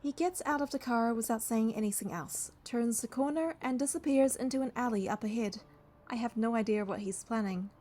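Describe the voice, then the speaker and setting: A woman's voice: fairly high pitch, brisk, warm tone, slight background noise. A woman reading a video game's story text aloud, with casual asides as she plays.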